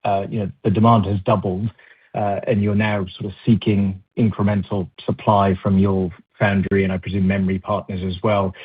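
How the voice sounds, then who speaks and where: A man's voice: on the low side, level tone, normal speed, breathy edge, dry recording. A man, a financial analyst, politely asking a long, hesitant question on an earnings call with many fillers. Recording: dry phone-line audio.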